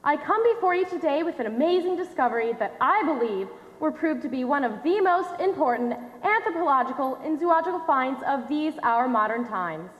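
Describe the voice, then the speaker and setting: A young woman playing an earnest scientist in a stage play, giving a formal presentation with projected, deliberate delivery. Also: high-pitched, animated, dull audio. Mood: formal, proud. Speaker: stage actor in a high school one-act play. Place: on stage in a large dark auditorium. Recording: echoey theater hall, long reverb.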